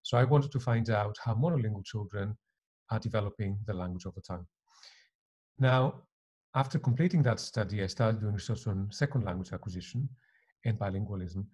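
Mellow, husky middle-aged man, native Greek speaker. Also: on the low side, brisk. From Greece. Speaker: university professor being interviewed. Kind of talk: reflective interview answer. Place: attic living room at home.

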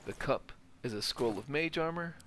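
A man giving a casual, explanatory walkthrough of a video game as he plays, in an unhurried way. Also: mid-range voice, lively intonation, over music. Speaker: let's player. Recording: game ambience noise behind voice. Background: video game ambience.

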